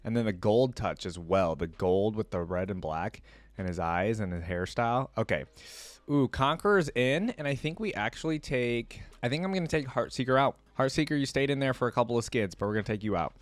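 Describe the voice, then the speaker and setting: A man's voice: lowish voice, animated, fairly quick, dry recording. A man giving casual reaction commentary, thinking aloud in informal, slangy language. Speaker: reaction video creator.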